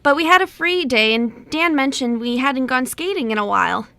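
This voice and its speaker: A woman's voice: high voice, expressive, normal speed, creaky, sharp tone, no room sound, exaggerated voice. A woman playing a friendly, tactful young sister in an amateur radio play, talkative and eager. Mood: delighted.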